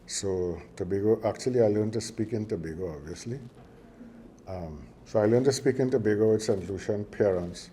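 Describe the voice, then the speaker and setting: An older man with a Trinidadian accent delivers a formal ceremony speech that keeps drifting into jokes and stories. His voice sits fairly low and sounds dark and mellow. He talks in a chatty way, with lots of fillers and restarts. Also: moderate pace, a little hiss or hum. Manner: chatty, joking, meandering with many fillers and restarts. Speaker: university chairman at signing ceremony.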